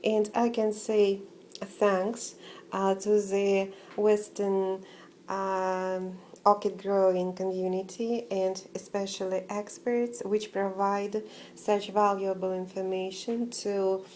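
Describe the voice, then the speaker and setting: A woman with a Russian accent, talking through a casual home vlog with many pauses, fillers and restarts. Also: medium pitch, little pitch movement, a little mellow, a little hiss or hum. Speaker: orchid-growing vlogger.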